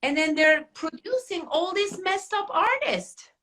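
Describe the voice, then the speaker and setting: Lively Turkish-accented woman host. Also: very high voice, unhurried. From Turkey. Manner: animated, laughs often. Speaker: academy owner and podcast host. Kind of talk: casual podcast chat.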